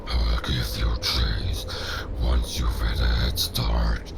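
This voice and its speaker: A man playing a menacing, patient werewolf in an audio drama, with a growling, breathy voice and slow, formal delivery. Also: a bass voice, completely flat delivery, heavy background noise, exaggerated voice. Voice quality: very gravelly, growling, breathy half-whisper. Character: monstrous male werewolf, menacing, coldly patient predator. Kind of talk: cinematic audio drama acting. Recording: loud cinematic music behind.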